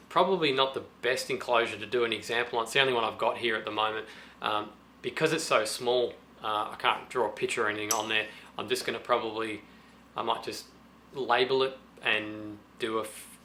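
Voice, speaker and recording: A slightly hoarse man with a sore throat, casually thinking aloud through a do-it-yourself how-to demonstration with many restarts. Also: mid-range voice, pushed and bright, a little hiss or hum. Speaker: DIY guitar pedal vlogger.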